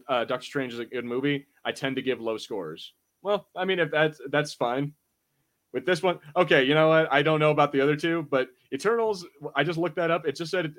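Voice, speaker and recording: A man's voice: a little high, fairly bright tone. A man hosting a podcast in a casual, informal, slangy chat style. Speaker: podcast host.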